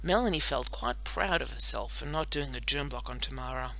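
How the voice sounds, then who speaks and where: A woman narrating a children's story in an expressive, animated storytelling manner. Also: extremely low pitch, brisk, poor audio. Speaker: audiobook narrator.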